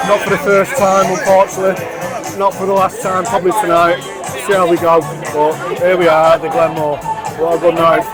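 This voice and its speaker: Tipsy Mancunian man vlogging a pub crawl. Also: fairly high pitch. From England. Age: 40s. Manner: chatty, swears freely, jokey asides. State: tipsy from beer. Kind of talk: casual walking vlog. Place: outdoors on city streets and a busy rooftop bar.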